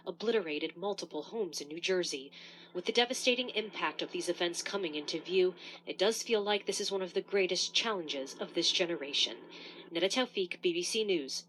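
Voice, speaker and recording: A woman's voice: fairly low pitch, a bit bright, slight background noise, low-quality recording. A woman narrating a scripted news report as a correspondent.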